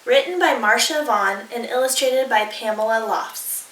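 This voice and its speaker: A woman reads a children's story aloud with deliberate, animated phrasing. The voice is high and bright, slightly distant in a roomy, echoey space. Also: slight background noise. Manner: deliberate, animated, inviting participation. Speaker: teacher reading aloud. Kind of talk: expressive children's storybook reading. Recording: roomy echo, distant mic.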